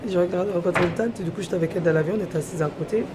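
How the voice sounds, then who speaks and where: Young female travel vlogger, lowish voice, chatty rambling, noisy airport recording. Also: racing. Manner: rambling, chatty narration of her day. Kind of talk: casual vlog chat to camera. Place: airport terminal and plane cabin, indoors.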